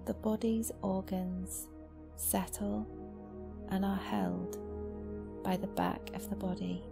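A woman guiding a meditation as a yoga nidra teacher, speaking slowly and gently in a soft, soothing voice with long pauses. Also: medium pitch, flat delivery, creaky. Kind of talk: guided meditation. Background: new-age music.

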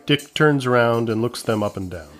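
A man's voice: medium pitch, lively intonation, moderate pace, faint music behind. A man narrating a comedy script, reading out the stage directions.

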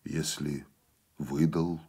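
A man voicing an uneasy partisan executioner, soft-spoken, halting and hesitantly reassuring. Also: extremely low pitch, level tone, slightly rough, voice pushed down, full character voice. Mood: apologetic.

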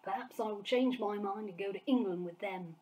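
A big, greedy bully of a giant, put on by a woman reading aloud, sneers in gruff, broken grammar. He is boastful and rude in a storybook way, and his voice sits at an average pitch. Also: brisk, lo-fi sound, a little hiss or hum, natural voice. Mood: jeering. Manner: gruff, jeering, mangled grammar.

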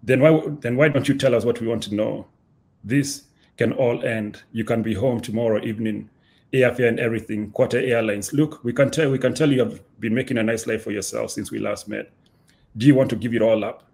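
A man's voice: medium pitch, fairly even intonation, a little fast, vocal fry, darkish tone, close to an everyday voice. A man voicing a menacing interrogator in a dramatic story reading, coercive and accusing. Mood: coaxing. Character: menacing interrogator, calm then yelling, demanding names.

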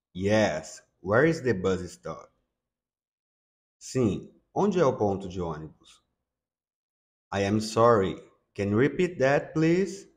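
A man from Brazil with a Brazilian accent, teaching English by repeating phrases slowly and translating them. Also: on the low side. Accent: Brazilian Portuguese. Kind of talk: slow bilingual lesson, repeating phrases.

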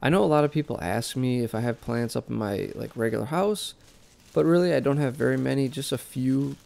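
Young male vlog host with a head cold, nasal and gravelly, relaxed rambling delivery. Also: mid-range voice, fairly quick. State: congested, sick and nasal. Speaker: plant channel host. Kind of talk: casual voice-over vlog narration. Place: basement plant room.

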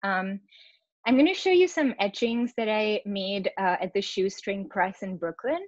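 A young woman from Pakistan, an artist, talks through her prints on a video call in a relaxed, explanatory way with many ums. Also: medium pitch, normal speed, no room sound. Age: young adult. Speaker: exhibiting artist. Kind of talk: online artist talk with slides. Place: room at home. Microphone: laptop video call. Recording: dull video-call audio.

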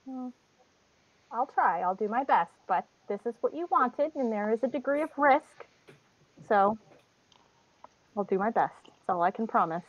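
A woman's voice: a little high, expressive, normal speed, pauses often. A woman playing a young cleric in a tabletop game, with a dry, exasperated manner. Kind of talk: in-character roleplay. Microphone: video call. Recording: lo-fi video call audio.

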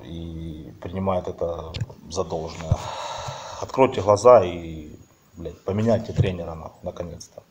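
Middle-aged man, deep husky voice, sarcastic, swears, dull phone sound. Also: fairly even intonation, measured pace, darkish tone, slight background noise. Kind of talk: sarcastic monologue to camera.

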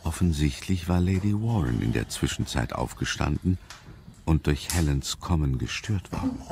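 A man narrating a scripted suspense story in a measured, ominous manner. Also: extremely low pitch, gravelly, noisy background.

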